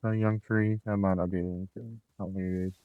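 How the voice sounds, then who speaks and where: Low-voiced man, slightly nasal, dry sound. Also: quick pace, no echo, phone-quality sound. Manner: relaxed, rambling, gives tips while playing. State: coming down with a cold. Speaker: gameplay commentator. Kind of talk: casual let's-play commentary.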